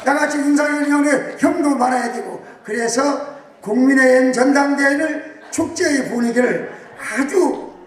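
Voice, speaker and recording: A man giving a rousing congratulatory speech to an audience, shouting his points emphatically. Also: very high-pitched, normal speed. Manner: emphatic, shouting slogans to the crowd. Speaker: guest speaker. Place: large banquet hall with audience. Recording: very echoey hall, distant mic, crowd behind.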